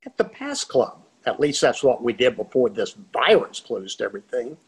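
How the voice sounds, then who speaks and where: An older actor playing a divorced, teasing friend who makes wisecracks, on a video call. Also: male voice, mid-range voice, sing-song, moderate pace, slightly rough, exaggerated voice, poor audio.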